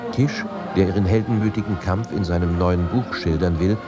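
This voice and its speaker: A man's voice: low-pitched, normal speed. A man reading a newspaper report aloud for a radio feature. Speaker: reader of press quotations. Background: loud music.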